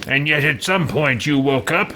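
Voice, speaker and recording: A man's voice: on the high side, normal speed, bright, forceful tone, slight background noise. A man role-playing a haughty, self-serving video game adventurer who talks in a curt, blunt, suspicious way. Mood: probing.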